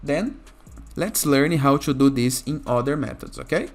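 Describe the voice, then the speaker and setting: Lower-voiced male tutorial host over music. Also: expressive, normal speed. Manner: instructional, often checking with "okay?". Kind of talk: software tutorial narration.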